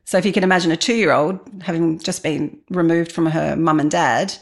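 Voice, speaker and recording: A woman's voice: low voice, normal speed. A woman in her forties telling personal stories as an interview guest, reflective and candid in a conversational way. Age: 40s.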